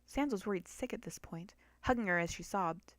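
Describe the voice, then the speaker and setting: A woman narrates a scripted story in a dramatic reading style. Her voice sits at a middle pitch for a woman. The recording is dry and close, free of room echo. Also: a little fast.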